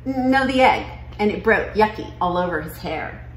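A woman's voice: medium pitch, animated, normal speed, close to an everyday voice. Nonchalant young schoolgirl, acted by a woman. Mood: matter-of-fact. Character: nonchalant young schoolgirl recounting a farm trip. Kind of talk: children's picture book read-aloud. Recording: roomy living room with noise.

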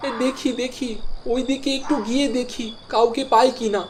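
Woman voicing hesitant, high-pitched anxious young village lad. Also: level tone, normal speed, natural voice. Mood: worried. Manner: hesitant, muttering to himself. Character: anxious young village son, dutiful and puzzled. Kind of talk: cartoon dialogue acting. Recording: clear background noise.

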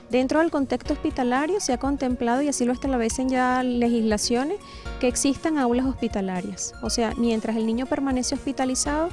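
A woman's voice: on the high side, mellow. A woman in her thirties with a Venezuelan accent, speaking as an interviewee in a prepared monologue into a handheld microphone. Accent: Venezuelan Spanish. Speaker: academic advisor, interviewee. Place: outdoors, in a garden courtyard. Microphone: handheld microphone close to the mouth.

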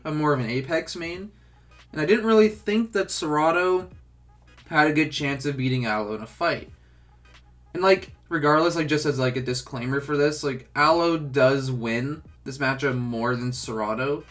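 A man's voice: a little high, fairly bright tone. A young man with a stuffed-up, congested voice, casually talking through a game tutorial with lots of filler words. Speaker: gaming tutorial host.

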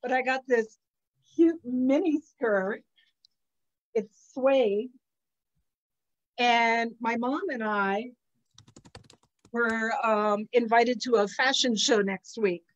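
A woman's voice: a little high, takes it slowly, long pauses, dull audio. Sniffly, chatty woman on a video call. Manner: chatty, laughs often, animated storytelling. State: a little sniffly. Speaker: psychic channel host. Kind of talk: casual video call chat. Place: outdoor terrace with potted plants. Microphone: laptop webcam on a video call.

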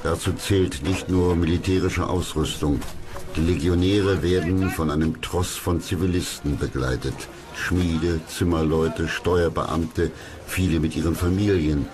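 A middle-aged man reading scripted history documentary narration in a measured, factual way. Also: deep voice, fairly even intonation. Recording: clear music under the voice.

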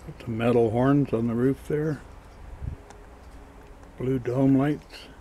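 A man giving a casual show-and-tell as a toy collector, low-key and matter-of-fact, on an amateur camera microphone. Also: steady tone, takes it slowly, mellow, slight background noise. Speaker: toy collector showing his finds.

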